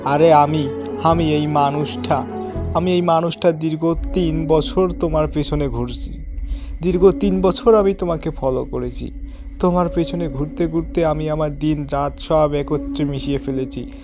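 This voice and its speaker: A heartbroken young suitor in a sad cartoon, played by a man, with a high male voice. He is earnest and melodramatic, wounded by love, with music playing behind him. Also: steady tone, a little slow. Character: heartbroken, lovesick poor young man pleading with his beloved.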